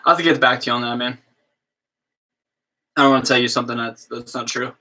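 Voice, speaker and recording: A young man from the US East Coast with a slow drawl, chatting casually with viewers on his livestream and padding his talk with fillers. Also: mid-range voice, fairly even intonation, pushed and bright. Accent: American East Coast. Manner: slow drawl, lots of fillers like "you know what I mean". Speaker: livestream host, musician.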